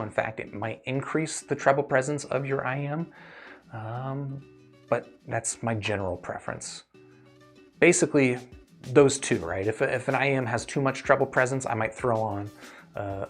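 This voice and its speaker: A man hosts a relaxed, conversational livestream in a medium-pitched voice. He talks in a thoughtful, explanatory way, qualifying his opinions as he answers. Music plays faintly behind him. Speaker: host reviewing audio gear and answering viewer questions. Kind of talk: casual livestream Q&A commentary.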